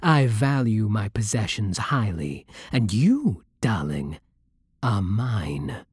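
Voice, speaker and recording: A man playing a possessive, commanding vampire king in an intimate ASMR roleplay, with a hushed, breathy British delivery that is slow and deliberate. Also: on the low side, sing-song, dry recording, clean studio sound, exaggerated voice. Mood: possessive, smug.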